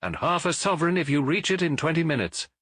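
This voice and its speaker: A man's voice: fairly high pitch, big pitch swings, fast talker, fairly bright tone, no echo, clean studio sound, voice pushed up. A man voicing an imperious young Victorian lady in a great hurry, giving urgent orders, as in an audiobook. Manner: urgent, commanding.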